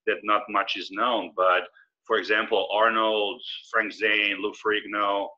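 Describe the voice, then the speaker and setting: A man's voice: lowish voice, level tone, unhurried, pushed and bright. A man in his forties, originally from Serbia, answering interview questions in an easygoing, explanatory way over a video call. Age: 40s. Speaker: guest. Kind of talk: casual interview. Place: indoor room with brick wall. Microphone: video call webcam. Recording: slightly lo-fi video call audio.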